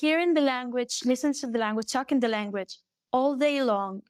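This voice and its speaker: A young woman from Galicia with a Spanish accent, a native Galician speaker, chatting as an interview guest, animated and laughing often. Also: high voice, a little fast, clear, resonant tone, dry recording, studio quality. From Galicia, Spain. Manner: animated, laughs often, restarts her sentences. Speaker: guest singer. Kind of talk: casual interview chat.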